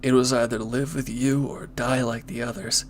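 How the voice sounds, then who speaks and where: A man playing a former villain turned hesitant, conflicted lover, speaking earnestly and haltingly in an intimate audio roleplay. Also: medium pitch, flat delivery, a little hiss or hum, lightly acted. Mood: regretful, earnest.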